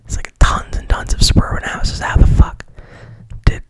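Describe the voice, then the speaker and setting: A man whispering an ASMR retelling of a film plot into a mic, rambling and deadpan, with constant swearing. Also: normal speed. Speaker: ASMR host. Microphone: studio mic.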